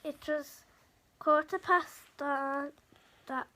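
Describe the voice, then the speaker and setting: Female storybook reader, high pitched, slow and halting, faint noise in the recording. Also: steady tone, pushed and bright. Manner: halting, stumbling reader who repeats words. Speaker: podcast host reading a storybook. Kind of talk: read-aloud storybook narration.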